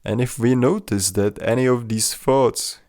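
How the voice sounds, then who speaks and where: A man leading a guided mindfulness meditation in a gentle, measured, instructional manner. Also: medium pitch, fairly even intonation, no echo, clean studio sound.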